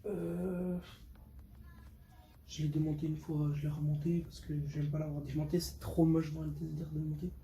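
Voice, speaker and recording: A man's voice: a little high, flat delivery, normal speed, warm tone, soft background music, rough recording. A fifteen-year-old boy from Portugal chatting casually to viewers in a vlog, slangy and repeating words for emphasis. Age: 15, teenager. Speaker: vlogger showing motorbike. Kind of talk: casual unscripted vlog talk. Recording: clear background noise.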